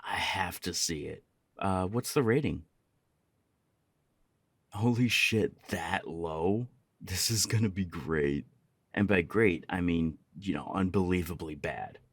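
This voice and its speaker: A man's voice: low-pitched, takes it slowly, no room sound, full character voice. A young man playing an easygoing, affectionate punk roommate in an intimate ASMR roleplay, chatting casually and teasingly. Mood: playful, amused. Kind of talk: intimate ASMR roleplay, one side of a conversation.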